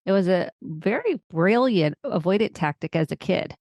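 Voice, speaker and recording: A woman's voice: fairly low pitch, lively intonation, moderate pace, darkish tone, dry recording. A woman hosting a conversational interview podcast, affirming her guest and repeating phrases for emphasis. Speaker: podcast host, therapist.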